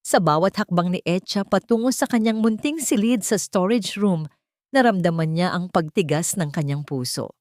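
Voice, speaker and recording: A woman's voice: lowish voice, darkish tone, no echo, studio quality. A woman reading scripted dramatic narration as a storyteller.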